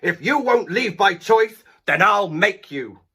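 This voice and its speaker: Villain for children's tale read by a man: cruel male tyrant, hissing, growly, deliberate delivery. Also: high-pitched, expressive, sharp tone, breathy edge, voice pushed up, heavily acted. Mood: menacing.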